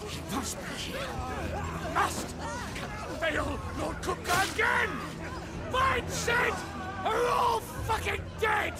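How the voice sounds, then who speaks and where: An actor playing a terrified, desperate soldier who screams frantically in a video game cutscene. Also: male voice, extremely high pitch, takes it slowly, long pauses, heavily acted. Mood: panicked. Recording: distant, over loud music.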